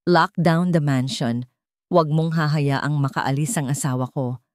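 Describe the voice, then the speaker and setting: A woman voicing a determined, protective wealthy young man in a story, grave and deliberate in delivery. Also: low voice, fairly even intonation, a little mellow, no room sound, clean studio sound. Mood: cold, commanding.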